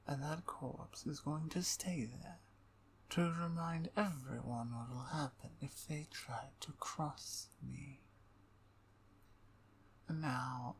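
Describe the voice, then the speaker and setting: A woman playing a menacing, playful vampire usurper in an ASMR roleplay, domineering and seductive, with breathy speech that often drops toward a whisper. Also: low voice, slight background noise. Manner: slow, deliberate, domineering, teasing.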